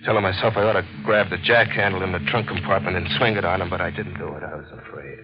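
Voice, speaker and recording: A man's voice: low voice, steady tone, fast, natural voice. An actor playing a frightened working man who recounts his ordeal in a grave, tense 1940s radio thriller narration. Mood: grim recounting. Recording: muffled old radio, music behind.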